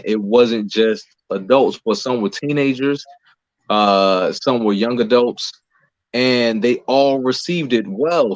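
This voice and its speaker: An American man talking casually and explaining things as an interview guest, in a conversational way. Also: mid-range voice. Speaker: playwright and producer being interviewed. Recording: roomy echo, dull lo-fi sound.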